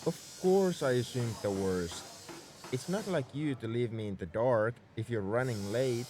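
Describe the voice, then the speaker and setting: Male actor as tsundere spaceship mech engineer for roleplay audio: lively, exasperated, scolding, secretly caring, mid-pitched, slight noise behind. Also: lightly acted. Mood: grudging concern. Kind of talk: ASMR roleplay audio drama.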